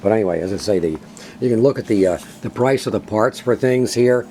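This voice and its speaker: A man's voice: fairly low pitch, fairly quick, a little hiss or hum. Older man showing off an old radio. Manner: relaxed, folksy, many uhs. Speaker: radio collector. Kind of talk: casual show-and-tell.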